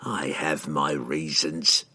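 A man voicing a cold, menacing assassin in a storybook, curt and contemptuous, speaking in a slow, quiet growl. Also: fairly even intonation, breathy edge, heavily acted. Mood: guarded, scornful. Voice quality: low growl.

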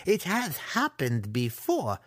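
A man voicing a cruel, resentful young male dark elf apprentice with a sarcastic, sneering delivery in a fantasy audiobook. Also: medium pitch, big pitch swings, a little slow, no room sound, studio quality, pitched above the performer's own voice. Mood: mocking. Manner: sneering, mocking.

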